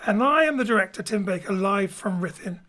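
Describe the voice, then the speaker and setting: Male presenter, measured, clearly addressed introduction to an audio drama. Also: sits high, lively intonation, bright, forceful tone. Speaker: theatre director and presenter.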